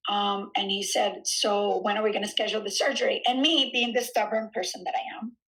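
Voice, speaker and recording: A woman in her thirties, a fitness studio founder, chatting in an interview and telling her story in an animated way with frequent fillers and laughs. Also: medium pitch, brisk, poor audio. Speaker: fitness studio founder and trainer, podcast guest. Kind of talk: casual conversational interview.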